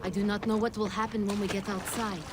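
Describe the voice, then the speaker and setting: A woman's voice: mid-range voice, flat delivery, quick pace, background noise, lightly acted. A woman playing a mystical spirit queen in a video game, composed and formal with a dry wit. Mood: grave. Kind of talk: video game cutscene acting. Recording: game soundtrack mix with loud music. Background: loud music.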